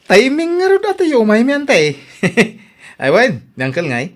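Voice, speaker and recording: Man acting a chatty, animated Ilocano nephew. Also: extremely high pitch, a little slow, exaggerated voice. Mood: cheerful. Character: friendly visiting nephew, easygoing and chatty. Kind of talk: Ilocano radio drama acting.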